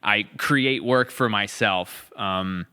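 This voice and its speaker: A man chats reflectively on a podcast as co-host. His voice sits fairly low yet sounds bright and very clean. He tends to restate a phrase before moving on. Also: slow pace, smooth. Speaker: podcast co-host, writer and series creator. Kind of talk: casual podcast discussion.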